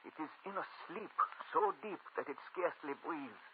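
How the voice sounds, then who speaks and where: A man's voice: fairly high pitch, expressive, normal speed, lightly acted. An actor playing an earnest, urgent, desperate male mystic in melodramatic old-time radio horror. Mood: grave warning. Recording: tinny, lightly noisy old radio recording.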